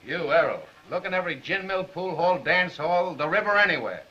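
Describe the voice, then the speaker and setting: Gruff 30s film newspaper boss, bit high, curt and bossy, dull old movie audio. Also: male voice, expressive, fairly quick, pushed and bright, exaggerated voice. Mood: barking, impatient. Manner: curt, barking orders. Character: gruff, skeptical big-city newspaper editor. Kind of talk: 1930s Hollywood film acting. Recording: muffled 1930s film soundtrack.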